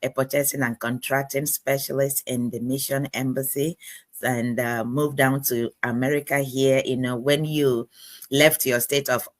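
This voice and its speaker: A woman from Nigeria, middle-aged, introduces herself as a co-presenter in an earnest, halting way, restarting her sentences. Her voice is close and dry, with no room sound. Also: rumbling low, level tone, dry recording. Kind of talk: self-introduction on a live stream.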